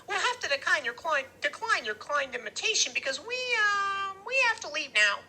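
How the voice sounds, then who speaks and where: Tiny scared kid for an audiobook, super high chattery voice, halting and way too polite. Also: male voice, sing-song, sharp tone, exaggerated voice. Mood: nervous, polite. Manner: halting, formal wording, stammers. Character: frightened small boy, nervous, stiffly polite.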